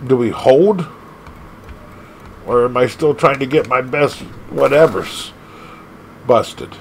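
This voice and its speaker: A man casually commenting on an arcade game as he plays, excitable and laughing often, leaping from squeaky highs to low growls. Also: slow pace, slight background noise. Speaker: gaming channel host.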